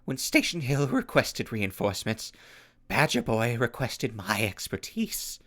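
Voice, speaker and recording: Manic clown soldier, grandiose, slow savoured delivery, wildly swinging pitch, audio drama. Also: male voice, very animated, a bit bright, full character voice. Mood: proud. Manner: grandiose florid speech, swooping outbursts. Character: manic theatrical pyromaniac clown soldier, florid and volatile.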